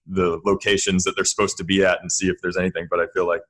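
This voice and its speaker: A man with a somewhat low-pitched voice talks in a casual chat. His delivery is informal and conversational. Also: flat delivery, fast talker, fairly bright tone.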